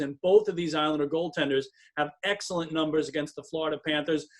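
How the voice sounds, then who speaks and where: A man's voice: on the high side, fairly bright tone. A man working as a hockey broadcaster, giving emphatic, detailed and fluent sports analysis as an interview guest.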